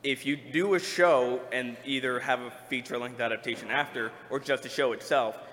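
A man's voice: mid-range voice, steady tone, brisk, fairly bright tone. A man speaking casually as a panelist in a live convention discussion. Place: large indoor convention room. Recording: echoey hall, live panel.

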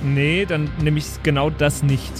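Guy as sassy young woman in a pen and paper game, voice on the high side, music under it. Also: fairly even intonation, measured pace, natural voice. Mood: eager. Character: cheeky young woman festivalgoer, quick to talk back.

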